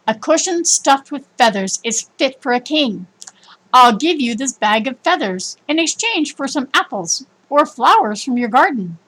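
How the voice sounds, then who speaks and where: A kindly old woman from a storybook, read by a woman narrator. The voice is fairly high, with a gentle, cheerful and generous way of speaking. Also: sing-song, a little slow, heavily acted, low-quality recording.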